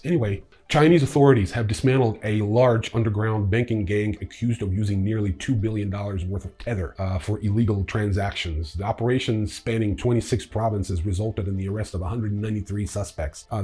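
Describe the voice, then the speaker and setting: Male newsreel host: fairly deep, distinctly husky delivery, reading prepared stories with informal, opinionated commentary. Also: warm tone. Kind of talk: scripted news narration with casual opinionated asides.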